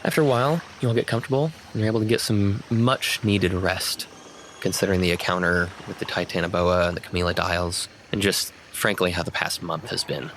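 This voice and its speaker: Low-voiced man narrating a tabletop game. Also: a little fast, fairly bright tone, slight background noise, low-quality recording. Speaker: game master.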